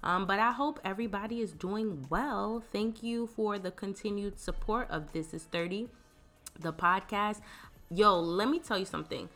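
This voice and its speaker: A woman's voice: mid-range voice, moderate pace, a bit bright, soft background music. Congested woman hosting a podcast. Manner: chatty, laid-back, lots of fillers. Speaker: podcast host. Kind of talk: casual podcast chat.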